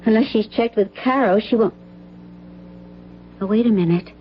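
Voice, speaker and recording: An actress playing an anxious, well-mannered grown daughter in an old-time radio drama. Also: female voice, mid-range voice, moderate pace, lightly acted. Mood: worried. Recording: muffled, noisy old radio recording.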